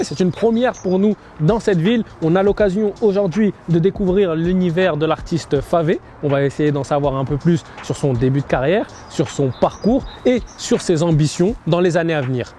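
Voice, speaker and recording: A man hosting a casual street interview outdoors in a housing estate, chatting in relaxed slang and laughing easily. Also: fairly high pitch, normal speed, hardly any pauses, slight background noise. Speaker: interviewer and host.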